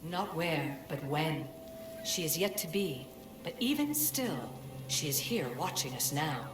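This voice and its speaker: A woman playing an ancient, cryptic spirit in a video game, speaking in a solemn, measured, commanding way. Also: low-pitched, sing-song. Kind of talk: video game cinematic acting. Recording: echoey voice over loud background music.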